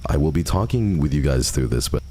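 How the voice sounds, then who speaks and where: A man's voice: extremely low pitch, expressive, brisk, warm tone, slightly rough. A man hosting a livestream, chatting casually and answering viewer messages at a close microphone. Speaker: livestream host. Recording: faint background noise.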